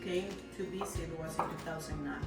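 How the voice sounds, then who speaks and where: Woman, Peruvian Spanish accent, home cook, casual demonstration, distant mic, loud background music. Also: sits low, fairly quick, reverberant. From Peru, mountain region. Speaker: home cook showing a dish. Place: indoor kitchen with chopping and pots.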